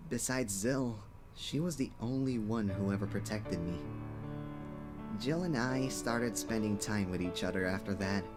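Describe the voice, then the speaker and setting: Man acting gentle, lonely young man over music. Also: on the low side, a little slow, natural voice. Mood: subdued, confiding. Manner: hesitant, apologetic, confiding. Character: gentle, lonely, self-doubting young cursed man in counseling. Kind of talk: animated fan dub voice acting. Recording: music playing behind the voice.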